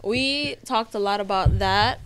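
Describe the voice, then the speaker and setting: A woman from Jamaica hosting a podcast, chatty and quick to laugh in casual conversation. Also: medium pitch, over music. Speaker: podcast host. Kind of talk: casual chat.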